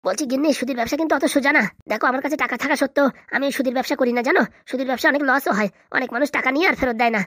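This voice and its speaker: Man acting a fretful, very fast-talking son-in-law. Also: very high voice, pushed and bright, dry recording, clean studio sound, full character voice. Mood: worried. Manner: evasive, fretful, hesitant. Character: anxious, reluctant young son-in-law, newly rich husband. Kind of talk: comedy cartoon dialogue.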